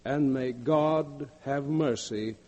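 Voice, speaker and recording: A man playing a stern judge in 1940s radio drama, speaking in a solemn, formal, measured manner. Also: medium pitch, lightly acted. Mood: grave, solemn. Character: stern judge solemnly pronouncing a death sentence. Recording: dull old radio, long hall reverb.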